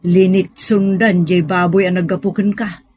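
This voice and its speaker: A woman playing a sympathetic, indignant neighbour in an Ilocano drama, with concern in her husky voice. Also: on the low side, little pitch movement, moderate pace, darkish tone, slight background noise, lightly acted. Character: sympathetic female neighbour friend bearing indignant gossip. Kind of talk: Ilocano audio drama acting.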